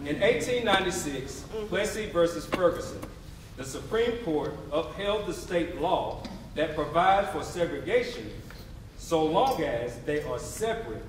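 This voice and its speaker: A man's voice: a little high, lively intonation, a little slow, a crowd in the background. Echoey distant live recording, man, theatrical oration, emphatic preacher-like delivery, audience sound. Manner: emphatic, declamatory, preacher-like call and response. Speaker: stage narrator. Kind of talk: dramatic readers theatre oration. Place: theatre stage before a responding audience.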